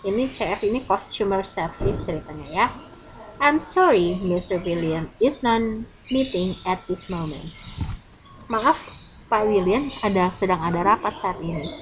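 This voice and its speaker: A woman's voice: medium pitch, animated, unhurried, crowd noise. A woman with an Indonesian accent, a native Indonesian speaker, teaches English informally in an online lesson, explaining examples and translating as she goes. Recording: clearly noisy, with birdsong. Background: birds chirping, ambient noise.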